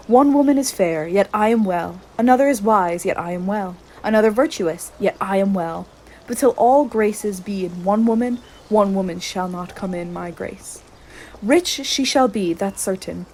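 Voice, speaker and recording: A scornful, witty bachelor soldier acted by a woman muses aloud in theatrical Shakespearean style. The mid-pitched voice sits over faint background noise in an amateur radio play. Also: a little mellow, natural voice, poor audio. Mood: choosy, self-assured. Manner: theatrical, musing soliloquy.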